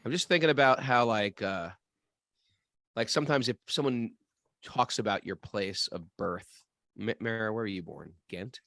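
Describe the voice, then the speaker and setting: A man co-hosting a relaxed spiritual discussion, thinking aloud in a musing way with frequent restarts. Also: fairly low pitch, moderate pace, no room sound, clean studio sound. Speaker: podcast co-host. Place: home area in New England.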